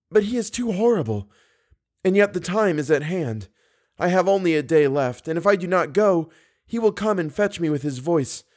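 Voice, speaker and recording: A man reading an audiobook, voicing a frightened young woman opera singer in a raised, hushed, pleading voice. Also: high-pitched, pitched above the performer's own voice. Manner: fearful, pleading, breathy hush.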